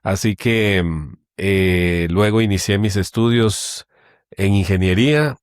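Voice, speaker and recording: A middle-aged man from Guatemala, a guest telling his story in a relaxed interview, pausing often with hesitations. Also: low-pitched, clear, resonant tone, dry recording, clean studio sound. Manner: measured, with many hesitations. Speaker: guest, company general manager. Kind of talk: conversational interview.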